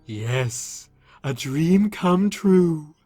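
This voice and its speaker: A man's voice: on the high side, expressive, extremely slow, a little mellow, slightly rough, no echo, soft background music, voice pushed up, exaggerated voice. A man voicing a gleefully sinister children's TV creature in a horror story, with a theatrical, mock-sweet showman delivery. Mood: sinister cheer. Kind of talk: horror story character reading.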